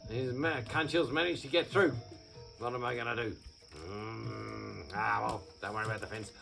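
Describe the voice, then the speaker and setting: A man voicing a worried farmer in a told story, muttering low and fretting over his crops. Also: fairly even intonation, moderate pace, vocal fry, fairly bright tone, soft background music. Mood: fretful. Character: grumbling worried male farmer.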